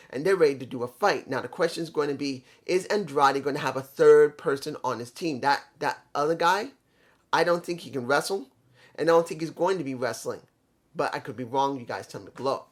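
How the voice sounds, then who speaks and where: Man, fairly high voice, casual show reviewer, rambling, self-correcting, trips over names. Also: a bit bright. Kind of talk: casual solo review talk.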